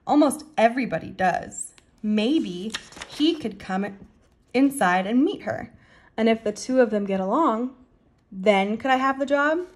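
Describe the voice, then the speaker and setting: A woman's voice: a little high, lively intonation, unhurried. A woman reading the part of an eager, polite preacher's daughter, earnest and folksy, in a children's story. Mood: earnest pleading.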